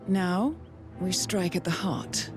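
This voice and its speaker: A woman playing a coolly elegant, calculating spy handler with a wistful edge in a video game cutscene, speaking in measured, formal phrases. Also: on the low side, fairly even intonation. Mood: composed, knowing. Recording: music behind the voice.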